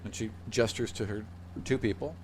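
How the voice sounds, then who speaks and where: A man's voice: lowish voice, normal speed, a little hiss or hum. A man narrating a tabletop roleplaying game casually as the game master.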